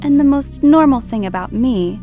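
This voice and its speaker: A woman's voice: high-pitched, lively intonation, normal speed, warm tone, soft background music. A woman playing a creepy young female monster who hosts scripted horror stories in a theatrical, menacing manner. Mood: eerie, gleeful. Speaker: podcast host.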